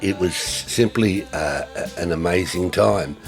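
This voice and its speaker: An older man, giving a reflective tribute in a halting way with many fillers and restarts. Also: low-pitched, music in the background. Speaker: former ministry co-worker.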